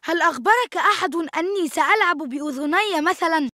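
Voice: extremely high pitch, fairly quick, pushed and bright, no room sound, clean studio sound. An actor voicing an earnest boy in a cartoon, who speaks to his brother in an instructive manner. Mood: annoyed. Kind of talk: animated cartoon dialogue.

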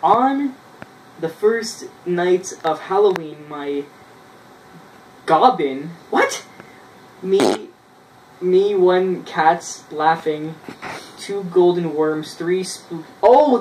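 A man, casually reading his old writing aloud in a halting way, with self-mocking asides, in a small bedroom. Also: high-pitched, darkish tone. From Canada. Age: 20s. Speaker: vlogger. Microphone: camera a few feet away. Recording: roomy, distant mic with noise.

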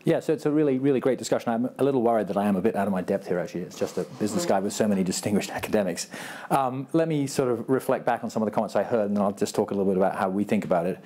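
A Texan man in his fifties talks quickly through his points on a conference panel, brisk and a little self-deprecating. His voice sits low and mellow. He speaks into a lapel mic on a big stage. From Texas. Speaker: business panelist. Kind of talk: panel discussion remarks. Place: large conference stage before an audience.

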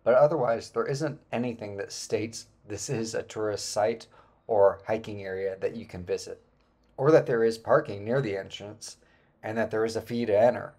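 A man in his forties narrating a travel vlog about a hike, relaxed and explanatory, with frequent filler words. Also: on the low side, moderate pace. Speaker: travel vlogger. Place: indoor room, then voice-over on hike footage.

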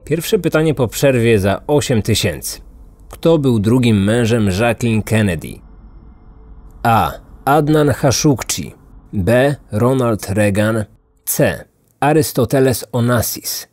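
A man's voice: mid-range voice, animated, takes it slowly, a little mellow, no room sound. A man narrating a scripted true-crime story as a podcast host.

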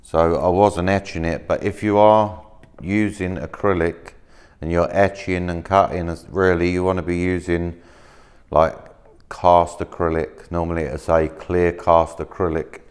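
A man in his thirties casually showing materials at a workshop table, talking in a relaxed, rambling way with plenty of fillers and restarts. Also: low voice, a little slow, clean tone. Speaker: maker demonstrating laser-cut materials. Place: workshop, indoors, at a work table.

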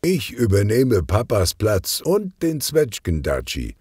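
Man as teen boy, cheeky, dark low voice, animated, storybook character. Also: slow, husky, no room sound, clean studio sound, full character voice. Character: cheeky fifteen-year-old boy with dark voice.

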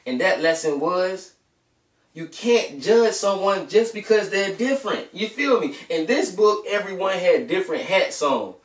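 Children's story host: young American male, slightly high voice, informal and familiar, recorded roomy and a bit distant. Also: very animated, unhurried. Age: young adult. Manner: informal, slangy, addressing listeners familiarly. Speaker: host of children's read-aloud bedtime story show. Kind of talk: casual chat to children and storybook reading. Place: small room at home, indoors. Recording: echoey room, distant camera microphone.

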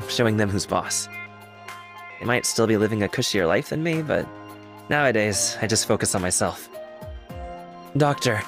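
A man's voice: lowish voice, natural voice. A man voicing an earnest, humble, eager young engineer in a video game, with casual, clipped, sincere phrasing. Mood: flustered, affectionate. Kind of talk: scripted game character voice lines.

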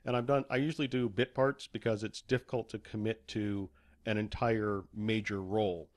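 A man's voice: on the low side, fairly even intonation, moderate pace. A man speaking casually as a podcast guest, a writer and voice actor telling anecdotes. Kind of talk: casual anecdotal interview chat.

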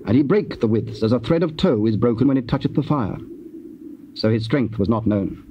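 Male narrator, solemn Bible storytelling, measured, formal, muffled old tape, slight noise. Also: medium pitch, lively intonation. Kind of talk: solemn dramatized scripture narration. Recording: dull, faintly noisy cassette recording.

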